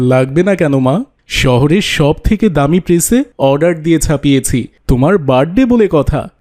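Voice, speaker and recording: A man's voice: on the high side, animated, unhurried, a little mellow, no room sound, studio quality. A man voicing a proud, indulgent rich father in a cartoon, boastful and doting. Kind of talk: cartoon dialogue acting.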